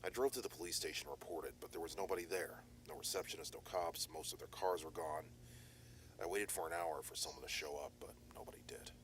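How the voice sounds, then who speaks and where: A man voicing a steady private detective from an analog horror tape, his voice extremely low and his delivery quick but formal. He stays measured and reassuring, with faint noise under the recording. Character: calm male private detective, steady, methodical. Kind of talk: analog horror audio drama.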